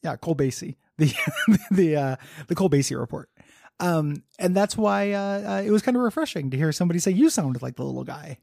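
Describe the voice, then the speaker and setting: A man's voice: a little high, expressive, brisk, vocal fry, darkish tone, no room sound, studio quality. A man co-hosting a podcast who rambles through pop-culture riffs and laughs often while chatting casually. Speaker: podcast co-host. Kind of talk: casual chat.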